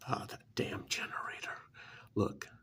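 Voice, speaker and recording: A man playing a smug, pampered vampire's familiar recruiter in an ASMR role-play, cajoling and dismissive, with a gravelly voice that often drops to a breathy near-whisper. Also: sharp tone. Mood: dismissive coaxing. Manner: conversational, cajoling, with rhetorical questions and pauses.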